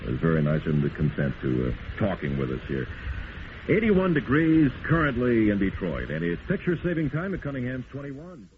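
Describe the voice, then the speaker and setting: A man's voice: medium pitch, wildly swooping pitch, a little fast. A man hosting a radio interview in a friendly, announcer-like way. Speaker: radio host. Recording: tinny, noisy old radio broadcast.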